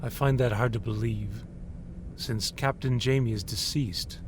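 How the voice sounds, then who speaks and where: An actor playing a cold male superior, calmly and accusingly questioning a subordinate. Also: mid-range voice, noisy background, close to an everyday voice. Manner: measured, accusatory. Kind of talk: audio drama acting.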